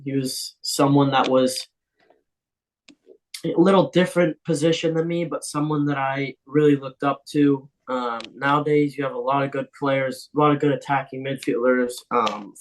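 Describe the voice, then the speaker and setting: A young man, a professional footballer, answering interview questions in a relaxed, casual way with frequent ums. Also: mid-range voice, rough recording.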